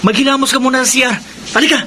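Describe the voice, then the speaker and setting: Bossy teen boy, Filipino accent, rapid insistent delivery, radio drama over background noise. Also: extremely high pitch, steady tone. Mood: insistent, scolding. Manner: nagging, insistent. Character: bossy, insistent teenage schoolboy Anton.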